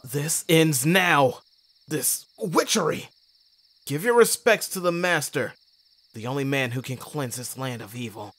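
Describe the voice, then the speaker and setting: A woman's voice: low-pitched, expressive, unhurried, a bit bright, no room sound, heavily acted. A woman playing a stern, commanding witch hunter in an audio drama. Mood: grim command. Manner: solemn, commanding. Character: stern, slow-speaking witch hunter, pronouncing judgement.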